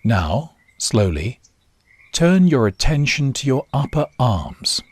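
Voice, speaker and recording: A man guiding a body scan meditation in short, measured instructions with long pauses. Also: mid-range voice, very animated, slightly rough, no echo. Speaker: meditation guide. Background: nature sounds.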